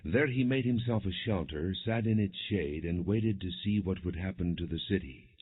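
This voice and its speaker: A man with a deep, husky voice narrates scripture from a script. His delivery is measured and solemn, with expression in the reading but no dramatization. The recording is dull and muffled, with a narrow lo-fi sound. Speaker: audiobook narrator.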